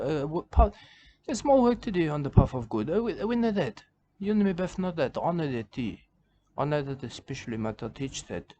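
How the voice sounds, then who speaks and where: A man's voice: fairly high pitch, a little mellow, slight background noise. A man giving rambling comedic commentary on a video, circling back on the same phrases in broken grammar.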